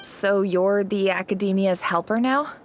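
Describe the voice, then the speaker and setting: An actress playing a young daughter of a desert tribe chief, asking skeptical questions in a game cutscene. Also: female voice, medium pitch, unhurried, slight background noise, natural voice. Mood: doubtful.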